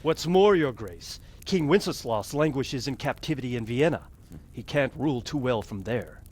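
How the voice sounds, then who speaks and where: A man's voice: mid-range voice, animated, normal speed, darkish tone, lightly acted. An actor playing a skeptical male knight who questions the plan in a game cutscene. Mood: doubtful. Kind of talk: video game cutscene acting.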